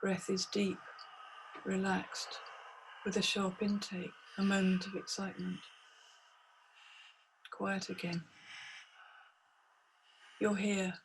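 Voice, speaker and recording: A woman narrates a guided meditation at a medium pitch that hardly moves at all. Her phrasing is deliberate and hypnotic, set apart by pauses. Clear ambient noise sits behind her voice. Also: monotone, low-quality recording.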